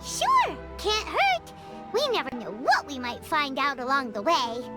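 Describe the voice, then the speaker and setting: A woman voicing a tiny, excitable floating fairy companion in a game, with a squeaky, childlike voice and an animated, exclamatory way of talking. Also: a little slow. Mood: chirpy. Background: game music.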